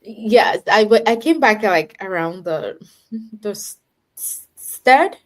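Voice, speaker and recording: A woman from Cameroon, telling lively stories as a guest on a video call and often stumbling over her words. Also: medium pitch, slow. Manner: animated storytelling, stumbles and repeats words. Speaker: podcast guest. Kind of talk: casual video-call chat.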